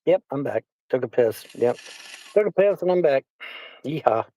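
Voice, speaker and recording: A man's voice: mid-range voice, lively intonation, normal speed, mellow, poor audio. A man riding as a passenger in a car, mostly agreeing in short, laconic replies. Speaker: passenger and sidekick. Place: inside a car.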